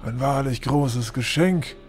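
A man's voice: mid-range voice, slow pace, faint music behind, lightly acted. A man acting a pompous, condescending older lord with formal, theatrical courtesy in a fantasy audio drama. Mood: smug, courteous. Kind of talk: fan-made German fantasy audio drama.

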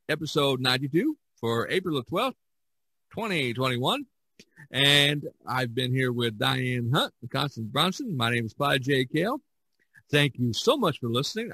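A man's voice: mid-range voice, bright, forceful tone, breathy edge, no room sound. A man hosting a podcast in a casual, chatty style, often chuckling as he talks. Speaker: podcast host.

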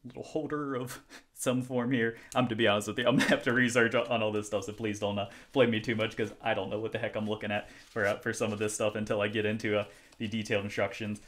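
A man's voice: on the low side, level tone, fast talker, fairly bright tone. A man reviewing watches, talking casually through an unboxing at home, chatty and self-deprecating with many ums and restarts. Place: home room, indoors.

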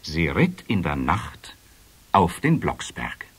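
A man's voice: low-pitched, huge pitch swings, slow pace, fairly bright tone, slightly rough, soft background music. A man narrating a scripted children's fairy tale as the storyteller of a radio play.

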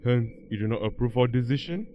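A man's voice: medium pitch, brisk, muffled recording, slight background noise, natural voice. A man playing a stern traditional father arranging his grown son's marriage, speaking firmly and decisively in an amateur radio play. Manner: firm, commanding.

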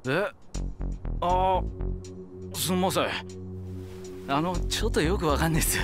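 A man playing a slow-witted, eager young yakuza underling in a game cutscene, deferential and naive, in casual junior slang. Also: a little high, over music, natural voice. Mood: naive questioning. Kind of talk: video game cutscene acting.

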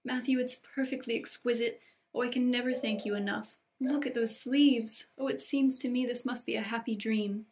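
A woman's voice: a little high, fairly even intonation, normal speed, a little mellow, lightly acted. A woman voicing an effusive, romantic young girl in a storybook read-aloud, full of earnest exclamations. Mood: delighted. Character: excitable, dreamy, romantic young girl, gushing with delight.

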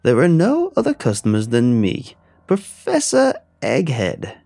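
A man voicing a pompous, shrieking egg-shaped creature who makes booming, formal demands. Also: huge pitch swings, unhurried, gravelly, warm tone, no room sound, heavily acted. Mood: booming demanding.

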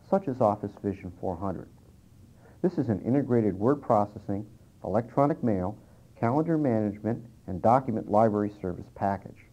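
Man, lowish pitch, scripted instructional presenter, soft dark tone, old cassette recording. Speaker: presenter and interviewer. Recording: dull early-1990s cassette tape transfer.

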